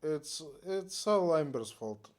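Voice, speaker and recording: A man's voice: fairly high pitch, lively intonation, slow. A man giving casual, playful commentary while playing a video game. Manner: joking, playful. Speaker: game streamer.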